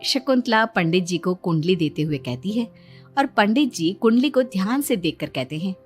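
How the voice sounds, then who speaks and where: A woman's voice: medium pitch, animated, moderate pace. A woman reading a scripted moral story as its narrator. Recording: music bed under the voice. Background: background music.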